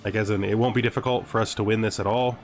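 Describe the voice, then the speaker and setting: A man's voice: fairly low pitch, fairly even intonation, fast talker, faint music behind. A man giving casual gameplay commentary, thinking aloud and often muttering questions and then answering them himself. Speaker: gamer narrating a let's play.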